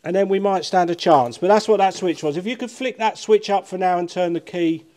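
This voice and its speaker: A man's voice: high-pitched, fairly quick, warm tone. Middle-aged British male, hands-on car enthusiast presenting a vlog; informal, self-deprecating, chatty delivery. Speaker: car collector and restorer presenting a channel. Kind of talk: casual chat while working on a car. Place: large workshop barn, indoors.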